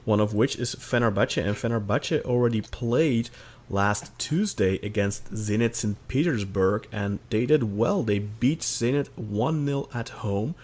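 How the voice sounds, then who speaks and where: A thirty-year-old man from Belgium hosting a casual football podcast, upbeat and quick, with the odd laugh. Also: fairly low pitch, darkish tone. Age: 30s. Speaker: podcast host.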